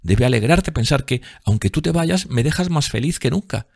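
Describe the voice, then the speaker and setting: Un hombre que da voz a una joven actriz enamorada y risueña, con un habla musical y exclamativa, en un audiolibro. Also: male voice, mid-range voice, expressive, normal speed, creaky, a little mellow, no room sound, clean studio sound, pitched above the performer's own voice. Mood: playful, joyful. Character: giddy, lovestruck young woman, a dreamy actress.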